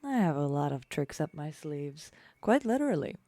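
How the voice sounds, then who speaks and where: A woman's voice: deep voice, sing-song, normal speed, mellow, no room sound. A woman playing a wry, caring young superheroine in an audio drama. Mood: wry concern.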